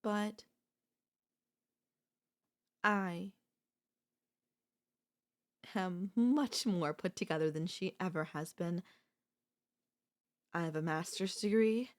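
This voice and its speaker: A woman playing an obsessive, possessive sister-in-law in an intimate ASMR audio roleplay, coolly confessing her love with deliberate pauses. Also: on the low side. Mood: smug, insistent. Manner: slow, deliberate, with long pauses.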